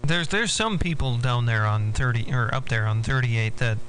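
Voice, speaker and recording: A man's voice: medium pitch, normal speed, fairly bright tone. Mild man over a static-laced CB radio. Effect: CB radio transmission. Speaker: amateur CB radio operator. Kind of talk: casual radio chat.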